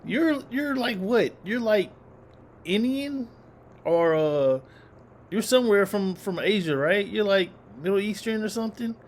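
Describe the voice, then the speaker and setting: Man, reaction host, casual commentary, animated pitch, faint noise. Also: unhurried. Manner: animated, incredulous, repeats himself.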